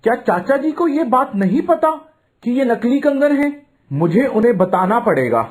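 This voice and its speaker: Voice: mid-range voice, big pitch swings, moderate pace, a little mellow, close to an everyday voice. A performer voicing an earnest, naive young male student in a cartoon, speaking politely and pleadingly. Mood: alarmed, questioning. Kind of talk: Hindi cartoon voice acting.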